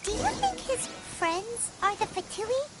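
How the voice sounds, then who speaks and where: A tiny chirpy fairy girl, with a squeaky voice way up high that bounces all over the place. She chatters about herself in the third person. Loud game music plays under her lines. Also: sing-song, unhurried, heavily acted. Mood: chatty curious.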